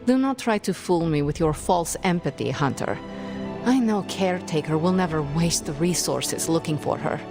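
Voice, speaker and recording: A low, brooding, bitter sorceress. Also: female voice, lively intonation. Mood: bitter, disdainful. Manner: formal, disdainful, deliberate. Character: bitter, brooding young sorceress, haughty and guarded. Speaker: video game voice actor. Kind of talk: scripted game dialogue. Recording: music behind the voice.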